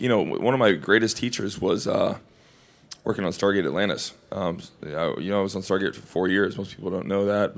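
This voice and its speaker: A man from Hawaii, an actor, answering questions at a Q&A in loose, rambling, animated anecdotes. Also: sits low, vocal fry. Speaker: actor and film guest at a Q&A. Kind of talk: casual Q&A storytelling.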